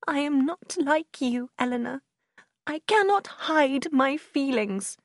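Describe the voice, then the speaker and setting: A woman's voice: high-pitched, animated, unhurried, darkish tone, breathy edge, dry recording, clean studio sound, pitched above the performer's own voice, exaggerated voice. A woman voicing a passionate, heartbroken young woman in an audiobook, with a tearful, pleading manner. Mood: anguished, bitter. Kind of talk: audiobook character acting in a simplified classic novel.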